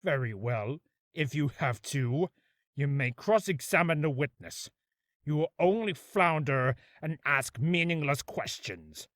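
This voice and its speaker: A man's voice: a little high, expressive, unhurried, a bit bright, dry recording, studio quality. A man playing a menacing, imperious video game prosecutor with a commanding, contemptuous manner. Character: menacing, imperious prosecutor demanding guilty verdicts, vengeful. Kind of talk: video game character acting.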